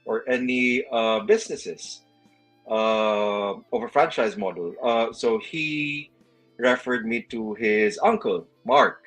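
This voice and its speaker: A man's voice: medium pitch, animated, slow, fairly bright tone, soft background music, rough recording. A man, a storage franchisee on a video-call interview, speaking hesitantly with many fillers.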